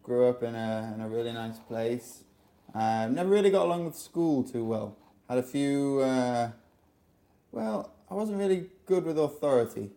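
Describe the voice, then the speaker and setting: A young English man from England, a podcast guest telling relaxed stories about his life with lots of fillers. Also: medium pitch, moderate pace. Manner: relaxed storytelling with frequent fillers. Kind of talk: casual interview.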